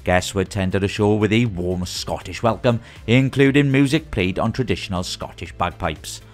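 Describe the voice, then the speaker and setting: A man presenting a scripted news roundup in a lively, sing-song delivery with rising greetings. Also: lowish voice, normal speed, soft background music.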